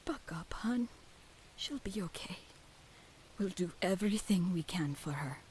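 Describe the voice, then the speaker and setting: A woman playing a kindly, reassuring nurse with a Spanish accent in an audio drama, speaking quietly and soothingly. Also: lowish voice, expressive, measured pace. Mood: consoling. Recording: faint hiss, slightly noisy.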